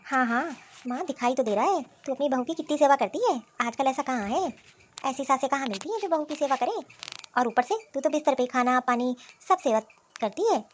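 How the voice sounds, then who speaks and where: A woman voicing a jealous, sulky sister-in-law who talks in a sarcastic, complaining way in an animated family drama. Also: high-pitched, fairly quick. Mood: snide persuasion.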